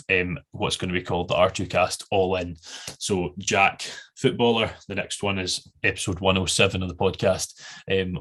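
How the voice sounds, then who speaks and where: Host, man mid-20s, slightly low voice, loose rambling chat delivery. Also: fairly bright tone. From Britain. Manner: chatty, rambling, many fillers and restarts. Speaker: podcast host. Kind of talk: casual podcast chat.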